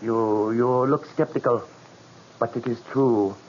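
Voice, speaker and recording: A man acting as a grave curio seller in a vintage radio mystery. He speaks very slowly in a medium-pitched voice, solemn and superstitious, with halting, formal phrasing. The old recording is dull and noisy. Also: fairly even intonation, a little mellow, natural voice. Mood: solemn, ominous. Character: grave, superstitious male curio seller guarding an ominous legend.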